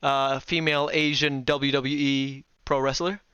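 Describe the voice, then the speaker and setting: A young man from Indonesia hosting a casual online chat, telling lively stories, acting out family arguments and laughing often. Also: mid-range voice, a little slow, clear, resonant tone, no echo, muffled recording. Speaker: host.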